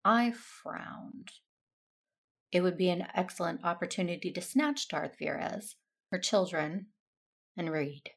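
A woman playing a dutiful, self-stern Jedi in a sci-fi audio drama, narrating in a measured, earnest way. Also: on the low side, expressive, vocal fry, exaggerated voice. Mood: grave, introspective.

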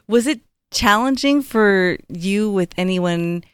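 A woman's voice: mid-range voice, lively intonation, slow pace, clean tone, dry recording. A woman co-hosting a podcast, introducing a guest and asking questions enthusiastically. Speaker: podcast co-host. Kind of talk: podcast interviewing.

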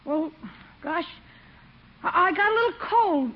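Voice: very high voice, animated, unhurried. A performer playing a sulky, reluctant schoolboy nephew with a sullen, hesitant delivery in 1950s radio comedy style. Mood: sheepish excuses. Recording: muffled old radio recording.

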